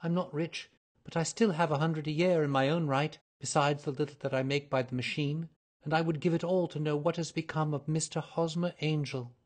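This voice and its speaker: 남자가 연기하는 허둥대며 수다스럽게 말하는 젊은 여성, 높게 올린 목소리, 약간 허스키함. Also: male voice, on the high side, steady tone, fairly quick, pitched above the performer's own voice, close to an everyday voice. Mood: rambling. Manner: eager, rambling, breathless pleading. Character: young woman client, flustered and chatty. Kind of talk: audiobook character reading.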